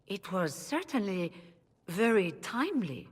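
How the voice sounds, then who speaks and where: Husky, dignified rebel mage leader. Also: female voice, medium pitch, big pitch swings, a little slow. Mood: subdued, strained. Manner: formal, troubled. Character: dignified, weary female leader of rebel mages. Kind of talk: video game cutscene acting. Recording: reverberant hall sound.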